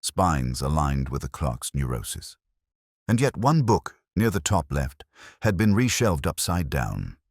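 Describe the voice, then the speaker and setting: A man with an extremely deep voice reads first-person detective fiction in a reflective, literary way. His intonation moves widely while the delivery stays measured. The sound is dry and close, with no room around it. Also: big pitch swings, darkish tone, husky, dry recording. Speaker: narrator. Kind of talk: scripted first-person detective audio drama narration.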